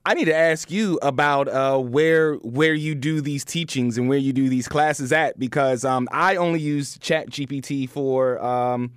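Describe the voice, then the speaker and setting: An American man hosting a casual podcast interview, asking questions in a halting, conversational way. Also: mid-range voice, clear, resonant tone, no room sound, studio quality.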